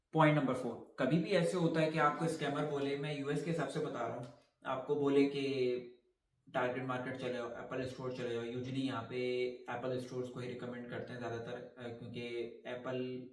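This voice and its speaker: A man talking casually to viewers as a vlogger, emphatic and repetitive, mixing in English and answering his own questions. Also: mid-range voice. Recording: echoey room with faint background noise.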